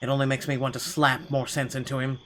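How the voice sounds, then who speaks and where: A man's voice: mid-range voice, completely flat delivery, fast, fairly bright tone, slight background noise. A man reading first-person narration from a game aloud in a dramatic storytelling style. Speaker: gaming channel host reading a visual novel aloud.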